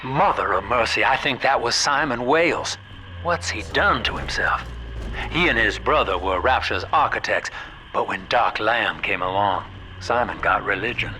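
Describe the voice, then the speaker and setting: An actor playing a folksy, streetwise ally in a video game who gives plain-spoken guidance over the radio. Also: male voice, lowish voice, lively intonation, moderate pace, pushed and bright, a little hiss or hum. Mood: grave, astonished. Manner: colloquial, plain-spoken, urging. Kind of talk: video game character dialogue.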